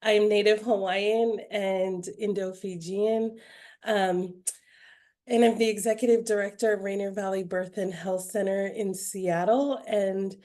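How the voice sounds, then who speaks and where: A woman's voice: mid-range voice, darkish tone. A woman, a director on a panel, introducing herself casually on an online video call. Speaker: birth center director, panelist.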